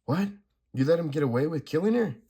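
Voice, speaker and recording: A man's voice: a little high, animated, brisk, dark tone, slightly rough, voice pushed up. A man doing a grieving male superhero. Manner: urgent, emotional delivery.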